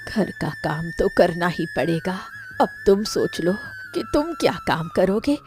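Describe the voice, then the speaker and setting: A woman's voice: on the low side, huge pitch swings, measured pace, faint music behind. A woman playing an anxious, sorrowful elderly village mother in a cartoon story, with a plaintive, lamenting manner. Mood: hurt, sorrowful. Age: older. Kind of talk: Hindi moral cartoon story dialogue.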